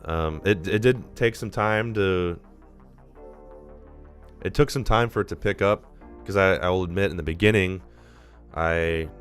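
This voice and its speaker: A man's voice: deep voice, measured pace, pauses often, soft background music. A man hosting a live movie-review stream, talking casually and rambling, a little nasal from being sick. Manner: rambling, casual, swears freely.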